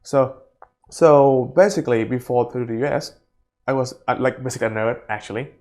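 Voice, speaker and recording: A young Thai man speaks in a medium-pitched voice with a Thai accent. He is a startup founder and native Thai speaker answering interview questions casually, with simple non-native phrasing. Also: a little fast, a little mellow. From Thailand.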